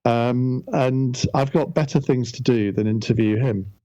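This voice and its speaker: A calm middle-aged Englishman with a mellow, dark tone, speaking in measured phrases full of ums and restarts. The sound is dry and a little dull, as if close to a modest mic. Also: mid-range voice, dry recording. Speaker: guest, radio broadcaster. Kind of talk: reflective interview talk.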